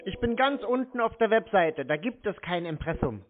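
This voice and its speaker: A man's voice: sits high, lively intonation, moderate pace. A man arguing over the phone in a blunt, insistent, scolding way. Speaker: scam-baiting caller. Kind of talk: confrontational phone argument. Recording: tinny narrow phone line.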